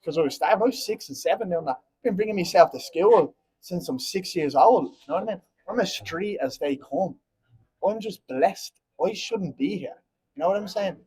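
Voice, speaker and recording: A man's voice: high voice, expressive, moderate pace, a little mellow, husky, rough recording. A man in his twenties with a Dublin Irish accent, talking bluntly and heatedly at a post-fight press conference, rambling and often asking "you know what I mean". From Ireland. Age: 20s.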